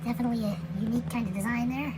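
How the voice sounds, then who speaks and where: A woman's voice: on the high side, rapid-fire. Chatty woman unboxing with background noise. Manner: relaxed, chatty, with frequent fillers and trailing phrases. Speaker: toy reviewer. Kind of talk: casual unboxing commentary. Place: indoors at night. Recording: home recording with steady background noise.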